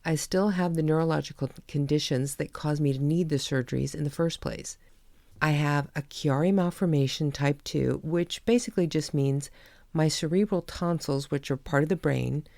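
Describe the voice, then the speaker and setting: A woman's voice: low-pitched, moderate pace, vocal fry, a little mellow, no room sound. A woman hosting her own podcast, talking candidly in a chatty way and laughing at herself. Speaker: podcast host and author. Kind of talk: personal podcast monologue.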